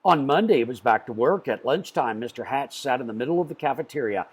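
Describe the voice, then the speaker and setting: A man reading a children's storybook aloud in an expressive way, with dramatic pauses. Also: mid-range voice, slightly rough. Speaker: storybook reader.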